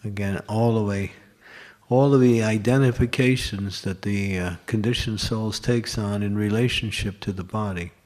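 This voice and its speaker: Low-voiced man with a gravelly edge, teaching scripture, explains patiently through rhetorical questions. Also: a little mellow. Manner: explanatory, asks and answers his own questions; lists examples in short runs. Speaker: lecturer at a temple class. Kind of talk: scripture class talk.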